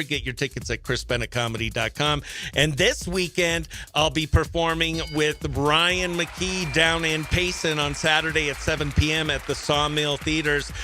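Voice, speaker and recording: A man's voice: on the high side, sharp tone. A man hosting casual morning radio chat in an upbeat, effusive, chatty manner. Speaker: radio host; stand-up comedian. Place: radio studio.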